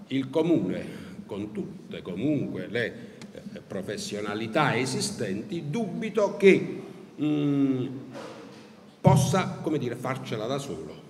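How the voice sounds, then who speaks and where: A middle-aged man speaks at a desk microphone in a large hall, and his voice carries a long, roomy echo. His delivery is formal and measured, with frequent hesitant fillers. The pitch sits in an ordinary middle range, and faint ambience hangs behind him. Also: slight background noise. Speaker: tax agency official speaking at a conference. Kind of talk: formal conference talk. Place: large ornate hall with wooden paneling. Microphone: gooseneck desk microphone.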